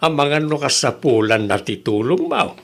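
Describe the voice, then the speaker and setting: A curious old father from a village comedy drama, acted by a man. He talks slowly and fondly with an Ilocano accent, his pitch rising and falling in a very animated way. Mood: concerned.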